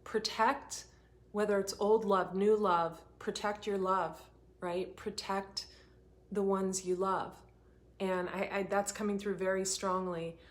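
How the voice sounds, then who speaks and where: A woman hosting a live oracle card reading, talking in an earnest, rambling way, her voice getting hoarse. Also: medium pitch, steady tone, slow pace. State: voice going hoarse. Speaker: intuitive reader hosting a livestream.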